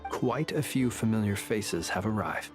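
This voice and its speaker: A man's voice: lowish voice, normal speed, over music, close to an everyday voice. An actor playing a modest, formal, dryly witty man in a video game. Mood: courteous, encouraging. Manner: formal, elaborate politeness.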